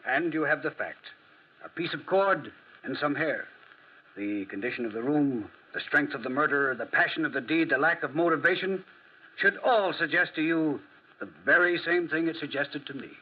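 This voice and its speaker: An actor in a 1940s radio drama playing a self-assured, superior French detective with a theatrical, deliberate, teasing delivery. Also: male voice, on the high side, slightly rough. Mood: dramatic, confident. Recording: muffled vintage 1940s radio recording.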